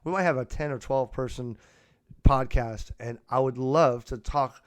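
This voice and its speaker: A man's voice: medium pitch, measured pace, clean tone, dry recording. Man hosting a casual podcast, dry close sound. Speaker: podcast host and amateur radio hobbyist. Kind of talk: unscripted casual chat. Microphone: close USB podcast microphone.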